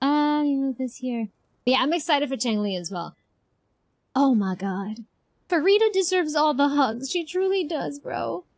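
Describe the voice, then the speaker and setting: A woman's voice: sits high, lively intonation, moderate pace, darkish tone, dry recording, clean studio sound. A woman streaming a game, chatting casually and expressively with viewers in an informal, slangy way. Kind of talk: casual livestream reaction chat.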